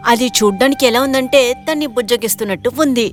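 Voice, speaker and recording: A woman narrator reading a scripted moral story for an animated video. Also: fairly high pitch, fast, soft background music.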